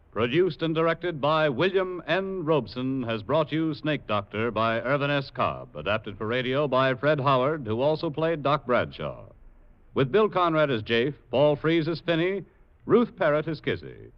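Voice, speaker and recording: A man's voice: on the high side, very animated, unhurried. A man announcing in a formal, theatrical 1940s radio style, reading a scripted introduction or credits. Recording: muffled vintage radio recording. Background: loud orchestral score.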